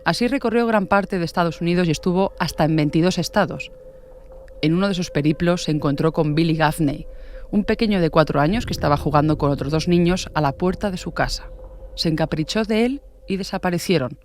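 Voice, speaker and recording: Higher-pitched man presenting true crime. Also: brisk, a little hiss or hum. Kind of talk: scripted true-crime narration.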